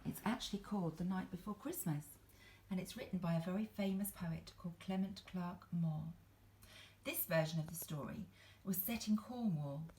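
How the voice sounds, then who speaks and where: An older woman reading aloud in an expressive storybook style, speaking directly to her listeners. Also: fairly low pitch, low-quality recording. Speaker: grandmother reading a Christmas story to viewers. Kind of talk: storybook read-aloud, poetry recitation. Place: indoors at home, beside a Christmas tree.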